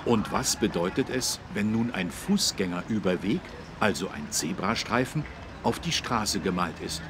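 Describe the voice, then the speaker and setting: A man playing a friendly policeman who courteously and clearly explains things to children in a learning game. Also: on the low side, expressive, moderate pace, noisy background. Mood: instructive, patient. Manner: instructive, courteous, clearly enunciated. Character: friendly adult male policeman explaining traffic rules to children.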